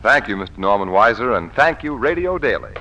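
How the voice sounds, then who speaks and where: A man's voice: fairly low pitch, sing-song, brisk. A man announcing in a formal, polished, emphatic 1940s radio style from a script. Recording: muffled 1940s radio broadcast, music behind.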